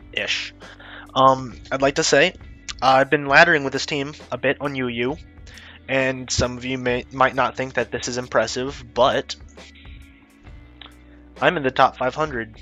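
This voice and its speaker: A young man with a cold talks in a stuffy, sniffly voice at a middling pitch. He rambles through casual commentary with offhand, self-mocking asides, while faint music plays behind him. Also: sharp tone. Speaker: YouTuber discussing his game team.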